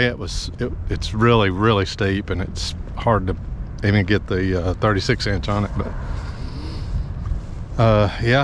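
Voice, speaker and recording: A middle-aged man, talking casually and haltingly as he walks outdoors with a handheld camera. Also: lowish voice. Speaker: lawn care worker vlogging. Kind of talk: casual rambling walk-and-talk vlog. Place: outdoors in a hotel parking lot and slopes. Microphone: action camera held at arm's length. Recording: noisy outdoor recording with traffic.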